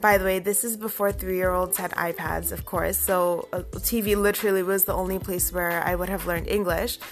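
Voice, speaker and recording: A woman's voice: lowish voice, steady tone, normal speed, soft background music. A young woman from Canada telling a personal story in a chatty way, full of asides. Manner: chatty, many fillers and asides. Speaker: vlogger.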